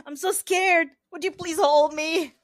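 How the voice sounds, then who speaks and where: A woman's voice: extremely high pitch, steady tone, unhurried, no echo. A woman playing a badly wounded, frightened robber in an audio drama, pleading and swearing in pain and distress. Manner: pleading, profane.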